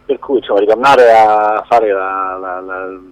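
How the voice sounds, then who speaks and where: A man answering as an interview guest in a halting, conversational way, repeating words and letting phrases trail off. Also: fairly low pitch, fairly even intonation, no echo, poor audio. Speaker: film producer, interview guest.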